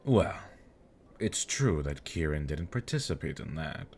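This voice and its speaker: A man's voice: rumbling low, sing-song, measured pace, darkish tone, a little hiss or hum, heavily acted. A man playing a smug, teasing elder demon nobleman with mocking politeness and flirtatious charm, in an ASMR fantasy roleplay. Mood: flirtatious, taunting.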